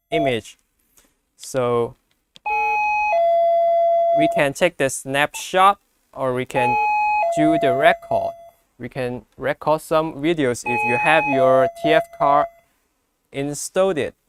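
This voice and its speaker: A man who speaks English as a second language gives an unscripted product demonstration, explaining step by step in a halting way. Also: a little high, heavy background noise. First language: not English. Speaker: product presenter.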